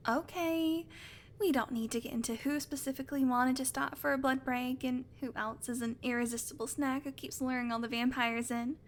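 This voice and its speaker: Woman doing a teasing vampire girl for audio roleplay, highish voice, snarky and sweary, kinda soft on you. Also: fairly even intonation, normal speed, slight background noise. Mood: teasing, exasperated. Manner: snarky, sweary, teasing, often dropping to a murmur. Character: sardonic, teasing, predatory female vampire with a soft spot. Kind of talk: audio roleplay acting, intimate monologue to a listener.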